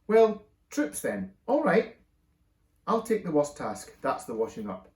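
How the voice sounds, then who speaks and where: A jolly, bossy dad in charge, as a man reads him in a storybook, barking cheerful orders like a sergeant. His voice bounces up high and down again. A roomy echo sits around it. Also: huge pitch swings, measured pace, a little mellow, heavily acted.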